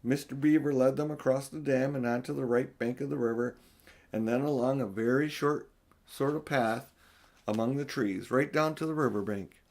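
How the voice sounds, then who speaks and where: A man's voice: medium pitch, normal speed, darkish tone. Older man reading a story aloud. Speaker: storyteller reading an audiobook for his grandchildren. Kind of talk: homely read-aloud storytelling with informal asides.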